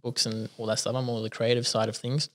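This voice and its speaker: A man's voice: mid-range voice, flat delivery, quick pace. A man from South Africa whose first language is Italian, chatting as a podcast guest in a casual, rambling way, often laughing at himself. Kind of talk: casual conversational storytelling.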